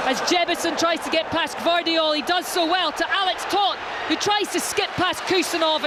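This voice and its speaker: High-voiced man calling football live. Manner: fast, rising calls over the action. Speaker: play-by-play commentator. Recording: loud stadium crowd and noise behind.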